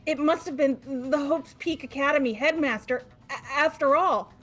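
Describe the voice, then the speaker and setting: Woman as a high, stammering bookish schoolgirl. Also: fairly bright tone. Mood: anxious, suspicious. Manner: halting, stammering. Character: nervous, gloomy, sharp-tongued teenage schoolgirl bookworm. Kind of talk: fan-dubbed video game character acting. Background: game music.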